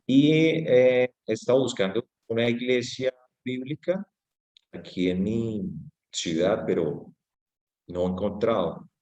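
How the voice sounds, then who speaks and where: A man introduces himself to his online class over a video call. He hesitates often and fills pauses, and his voice is very deep. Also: darkish tone. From Colombia. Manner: hesitant, many fillers. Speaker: student.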